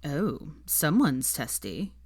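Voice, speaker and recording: A woman's voice: low voice, sing-song, takes it slowly, husky, no echo, studio quality, full character voice. A woman voicing a charming but needling young man with a pointed, sardonic, disapproving manner in a story reading.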